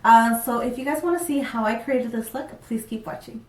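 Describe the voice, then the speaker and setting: A young woman with a blocked-up, congested voice from a cold talks in a bubbly, rambling way, full of ums, as she walks through a makeup tutorial. Her voice sits a bit high and comes from a little away from the mic, with a roomy echo around it. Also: moderate pace, darkish tone, distant mic. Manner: chatty and upbeat, many ums. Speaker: beauty vlogger. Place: small room, plain backdrop.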